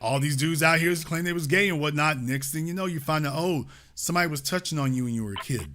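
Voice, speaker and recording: Bright-voiced lecturing male host. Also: a little high, quick pace, slight background noise. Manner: emphatic, lecturing. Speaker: call-in show host. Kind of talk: talk radio commentary.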